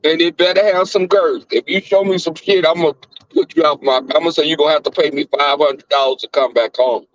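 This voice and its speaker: A Southern-accented man talks loosely on a live stream, crass and flamboyant, with a voice pitched a touch high that keeps jumping into squeals. The audio is dull and lo-fi, and the room is dry. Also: fairly even intonation, a little fast, dry recording. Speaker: livestream host. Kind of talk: casual live-stream chat.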